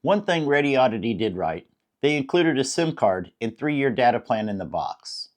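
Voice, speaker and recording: American man with lively, swooping pitch. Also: normal speed. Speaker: product reviewer, host. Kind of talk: scripted product review narration.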